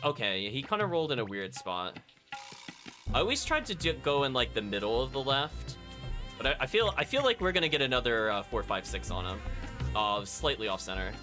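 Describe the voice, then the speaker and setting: A man giving casual live commentary as a video game streamer, thinking aloud and chatting to his viewers. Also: lowish voice. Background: game music.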